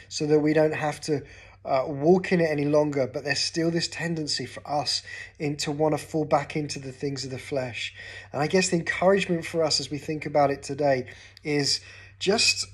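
A man in his forties with an English accent, giving an earnest short devotional talk to camera from a sofa at home, gesturing as he speaks. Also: on the high side. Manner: earnest, gestures with his hands while talking. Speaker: pastor. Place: living room, seated on a sofa indoors.

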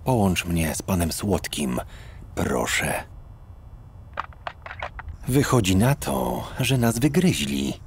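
A ruthless cat gangster from a cartoon, acted by a man. He speaks slowly with a creaky, low voice and stays deadpan and formally polite, over faint background noise. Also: heavily acted. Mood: cold, restrained. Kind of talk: animated cartoon dub acting.

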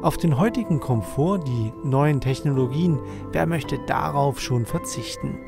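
A man's voice: medium pitch, a little slow, gravelly, over music. A man reading a scripted voice-over for a television news report. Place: voice-over recording with music behind.

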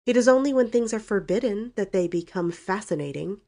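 A woman voicing a brazen, sharp-tongued young woman in a storybook, speaking boldly and emphatically. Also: medium pitch, lively intonation, brisk, pitched above the performer's own voice. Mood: brazen, cool. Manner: bold, emphatic.

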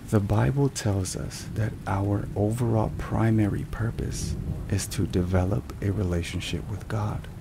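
A man narrating scripted religious ASMR in a deliberate, measured way. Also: fairly low pitch, gravelly, noisy background. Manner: slow, deliberate, measured phrasing. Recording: steady rain and thunderstorm sounds behind. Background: rain and thunder.

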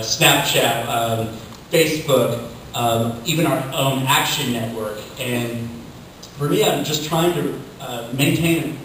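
A man's voice: mid-range voice, fairly bright tone. A man speaking informally as a panelist, explaining his work at a conference. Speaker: panelist, digital communications staffer. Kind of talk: panel discussion answer. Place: hotel conference ballroom, recorded from the audience. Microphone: distant camera picking up the room sound. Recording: distant, very echoey room recording, faint noise.

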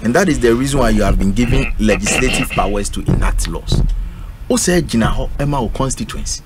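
A man's voice: medium pitch, animated, a little fast. Emphatic Ghanaian man over a noisy feed. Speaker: radio panel guest. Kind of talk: political panel discussion, code-switching. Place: indoor radio studio. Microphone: studio microphone on a stand. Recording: noisy live radio studio stream.

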